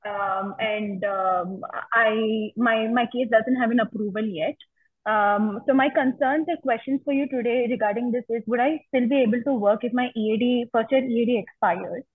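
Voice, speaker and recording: A woman's voice: on the high side, fairly even intonation, moderate pace, slightly rough. A young woman calling for advice, carefully and politely explaining her case with some hesitation. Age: young adult. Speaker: caller seeking legal advice. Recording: muffled, phone-like line.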